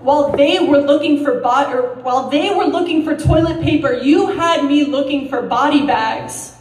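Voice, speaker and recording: A woman reciting slam poetry in a deliberate, dramatic, emotionally intense way, with pauses and emphasis. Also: high voice, a little mellow. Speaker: slam poet. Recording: roomy echo, distant mic.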